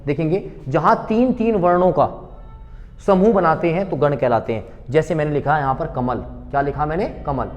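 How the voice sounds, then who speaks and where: A man with a high-pitched voice teaches an exam-prep class in a room with a long echo. He keeps firing questions at his students, repeating each one and then supplying the answer in a call-and-response rhythm. He calls his pupils beta and bachche. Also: moderate pace, faint music behind.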